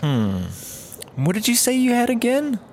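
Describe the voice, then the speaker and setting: A man's voice: extremely high pitch, wildly swooping pitch, measured pace, a little mellow, slight background noise, full character voice. A man playing a clueless, cheeky fellow in a comedy audio drama, with a glib, oblivious delivery. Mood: baffled. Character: clueless, cheeky man, flippant lovelorn rehab patient.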